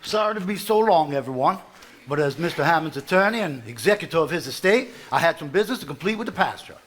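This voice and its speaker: A stage actor playing a formal, orderly family attorney, making announcements on a live stage. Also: male voice, fairly high pitch, animated, fast, fairly bright tone. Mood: businesslike. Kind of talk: live stage play acting. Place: theater stage before a live audience.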